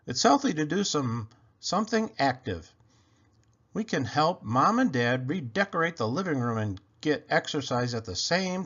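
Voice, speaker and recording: Animated man reading a children's book aloud. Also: a little high. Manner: animated, playful emphasis. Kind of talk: expressive read-aloud of a children's picture book. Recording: dull, lo-fi sound.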